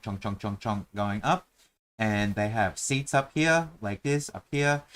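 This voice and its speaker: A man hosting a casual chat while drawing a dungeon map, talking in a playful, rambling, self-mocking way. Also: medium pitch.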